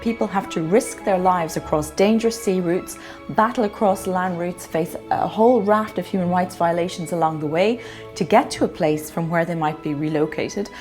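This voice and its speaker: A woman's voice: on the low side, normal speed, a little mellow, soft background music. A woman rights advocate speaking in a firm, articulate way.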